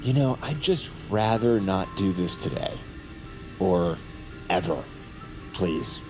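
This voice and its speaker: A man's voice: low-pitched, lively intonation, slow pace. An actor playing a brash, sarcastic, stammering male patient in an audio drama, with music behind him. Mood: pleading. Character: rattled, wisecracking male patient plotting an escape. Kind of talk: audio fiction acting with inner-monologue asides.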